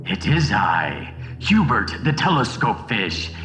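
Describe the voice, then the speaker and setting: A man's voice: lowish voice, huge pitch swings, slow, fairly bright tone, faint music behind, exaggerated voice. A man voicing a gloating villain fish in a sci-fi audio drama, grandiose and menacing, who styles himself an emperor. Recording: muffled, cavernous long reverb.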